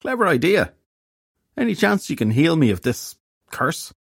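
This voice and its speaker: A hungover half-fairy detective, played by a male narrator, moans with melodramatic self-pity. The voice is weak and croaky, lifted well above a low register into a whine, as in a comic fantasy audiobook. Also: sing-song, moderate pace, dry recording, studio quality, heavily acted. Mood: whiny, baffled. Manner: moaning, melodramatic complaining.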